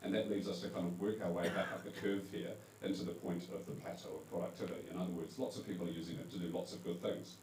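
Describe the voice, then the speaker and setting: A New Zealand man speaks to a live audience in a low, warm voice, relaxed and conversational, fixing his wording as he goes. He is picked up from some way off, so the hall echoes around him. A faint hum sits underneath. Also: fast, hardly any pauses, recorded from a distance. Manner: conversational, self-correcting with fillers. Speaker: conference panel speaker. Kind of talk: presentation talk to a live audience. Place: event hall.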